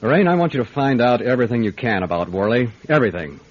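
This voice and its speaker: An actor playing a brisk, wry private detective in a radio drama, with a clipped, matter-of-fact delivery. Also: male voice, medium pitch, sing-song, highs cut off. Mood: brisk, commanding. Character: hard-boiled male private detective, brisk, wry, businesslike. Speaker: lead actor and narrator. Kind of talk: radio detective drama with first-person narration.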